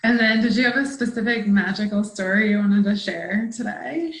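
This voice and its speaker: A woman's voice: medium pitch, normal speed. A woman hosting a casual interview, giggly and encouraging, with short responsive interjections, in an echoey room. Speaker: host.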